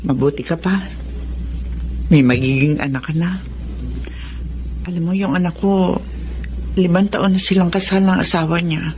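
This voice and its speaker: A woman's voice: on the low side, moderate pace, long pauses, a little hiss or hum, natural voice. An actress playing a nosy but motherly middle-aged landlady in a Tagalog radio drama, frank and full of exclamations. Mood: confiding. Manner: inquisitive, exclaiming, expressive.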